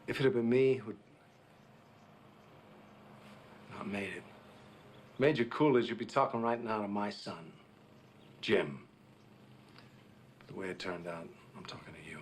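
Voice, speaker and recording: An actor playing a solemn middle-aged war veteran captain in a film drama, telling his story earnestly and haltingly, with long pauses. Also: fairly low pitch, close to an everyday voice. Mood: solemn, friendly. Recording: noisy film soundtrack.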